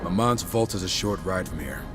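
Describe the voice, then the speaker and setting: A man's voice: low-pitched, lively intonation, moderate pace, over music. A man acting a wisecracking, cocky horseman with a sarcastic, teasing manner in a video game cutscene. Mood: wry banter. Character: wisecracking, cocky horseman, brash younger-brother gunslinger type.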